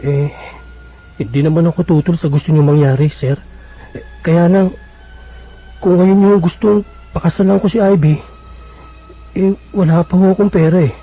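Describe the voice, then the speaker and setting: An actor with a Filipino accent playing a timid, smitten young suitor, hesitant and stammering, in a radio drama. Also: male voice, on the high side, steady tone, normal speed, pauses often, soft background music. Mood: meek, hesitant. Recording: dull lo-fi radio broadcast.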